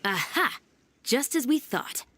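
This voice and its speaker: A woman playing a confident, deductive investigator in a video game, with an assured, deliberate delivery. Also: high voice, very animated, bright, forceful tone, full character voice. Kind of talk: scripted video game voice acting.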